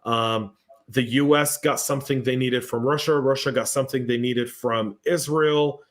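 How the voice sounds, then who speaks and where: Guy hosting a podcast, chatty and animated, acts out little exchanges, mid pitched. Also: moderate pace. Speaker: podcast host. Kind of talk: casual panel chat, reading viewer comments.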